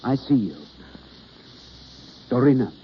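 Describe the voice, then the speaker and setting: Theatrical drama coach, vintage radio play. Very lively intonation, instructive, slightly hesitant, muffled hissy recording. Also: male voice, mid-range voice, slow pace. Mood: hesitant, polite. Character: male theatre understudy coaching a novice, impatient yet kindly. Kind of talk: old-time radio drama acting.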